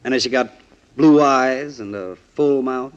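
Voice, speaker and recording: An actor playing a wry, flippant amateur sleuth, weary but game, in 1940s radio drama style. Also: male voice, medium pitch, measured pace, smooth, lo-fi sound, lightly acted. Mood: brisk, inquisitive.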